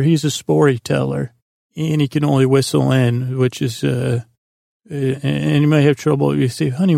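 A man with a gravelly, creaky voice hosting a bedtime podcast in a meandering, halting monologue that trails off mid-thought. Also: on the high side, warm tone, dry recording, studio quality. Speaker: podcast host.

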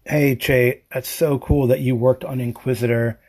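A man calling in to a podcast with a casual, halting voice message that rambles and trails off. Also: mid-range voice, fairly even intonation, a little mellow, slightly rough. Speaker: podcast caller.

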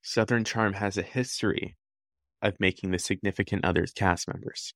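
A woman's voice: rumbling low, steady tone, moderate pace, no room sound, studio quality. A woman co-hosting a podcast, chatting casually with blunt, sarcastic quips. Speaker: podcast co-host. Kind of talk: casual chat.

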